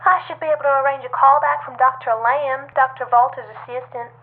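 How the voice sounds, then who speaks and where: An actress playing a polite, stonewalling corporate receptionist in an audio drama, formal and robotically courteous, on a tinny telephone line. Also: female voice, high voice, fairly even intonation, brisk. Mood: polite, evasive. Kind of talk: audio drama phone dialogue.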